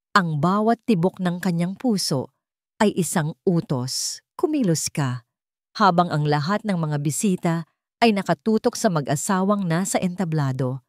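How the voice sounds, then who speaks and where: A woman narrating a scripted story in a dramatic, suspenseful way, in deliberate short phrases. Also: on the low side, animated, a little mellow, dry recording, clean studio sound.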